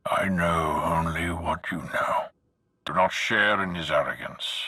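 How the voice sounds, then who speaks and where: An actor playing a grave, vengeful spirit in a video game, with a raspy, forceful voice and solemn, declamatory delivery. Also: male voice, deep voice, no echo. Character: grave, vengeful male spirit urging destruction of a tyrant. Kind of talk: video game character acting.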